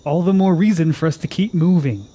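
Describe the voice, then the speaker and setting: An actor playing a proud, stubborn warrior in a fantasy radio drama, speaking in a brusque, challenging way. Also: male voice, a little high, fairly quick, dark tone, close to an everyday voice. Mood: firm, urging.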